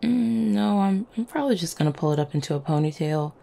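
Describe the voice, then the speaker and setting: A woman playing an affectionate, playful vampire girlfriend in an intimate ASMR roleplay, teasing gently with small hesitant stammers. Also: lowish voice, lively intonation, fairly quick, gravelly. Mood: casual, affectionate. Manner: soft-hearted, teasing, hesitant little stammers and chuckles.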